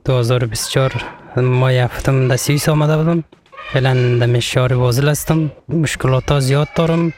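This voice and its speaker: Young Afghan man, Afghan Dari accent, rapid and flat, halting personal testimony. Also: mid-range voice, a little hiss or hum. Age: young adult. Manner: halting, plain sentences with restarts. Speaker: interviewee.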